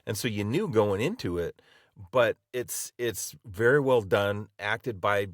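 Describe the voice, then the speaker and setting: A man's voice: fairly low pitch, animated, measured pace, dry recording, clean studio sound. A man co-hosting a podcast, talking enthusiastically and rambling with many "you know"s. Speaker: podcast co-host. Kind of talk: casual podcast conversation.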